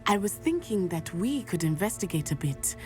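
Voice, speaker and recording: A woman's voice: fairly low pitch, big pitch swings, normal speed, dark tone. An actress playing an earnest young female student in a video game, from Matabeleland, speaking formally and measuredly. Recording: game audio with faint music.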